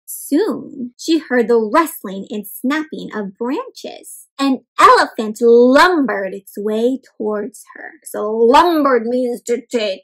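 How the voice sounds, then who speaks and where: A high-pitched woman reads a picture book aloud for little kids. She is animated and expressive, pausing to question her young listeners and spell out what words mean. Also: poor audio. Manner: animated, asks questions and explains words to children; dramatic gasps and exclamations. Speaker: children's read-aloud storyteller.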